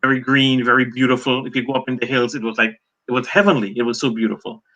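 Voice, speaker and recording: A middle-aged Trinidadian man, a journalist and author, answering interview questions as a lively storyteller. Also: mid-range voice, fast, muffled recording. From Trinidad and Tobago.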